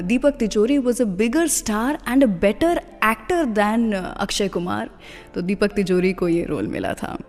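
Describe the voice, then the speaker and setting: A woman's voice: medium pitch, darkish tone. An Indian woman presenting a radio show in a scripted but personal style, mixing English phrases into her speech. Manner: mixes English phrases into Hindi. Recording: long reverb, music under the voice. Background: background music.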